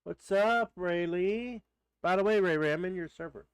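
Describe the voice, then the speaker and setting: Streamer, male, congested nose, smooth clean voice, bone-dry close mic, rambling friendly chat. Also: high voice, normal speed, no echo. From Texas. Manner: rambling, greets chatters by name. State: stuffed-up nose. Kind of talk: casual live-stream chat.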